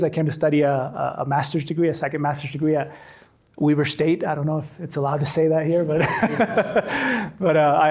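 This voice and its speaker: A man in his forties, from Chile, talks to a room of faculty about storytelling in a slightly high, easygoing voice. He speaks conversationally and often doubles words as he restarts a phrase. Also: darkish tone. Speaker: storytelling workshop trainer. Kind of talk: workshop talk to faculty. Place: classroom with a live audience.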